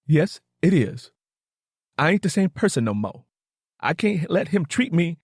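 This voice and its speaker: A man voicing an earnest, excitable young country woman with an American Southern accent in an audiobook. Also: fairly high pitch, measured pace, husky, no room sound, studio quality, exaggerated voice. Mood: defiant, excited. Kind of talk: audiobook character dialogue.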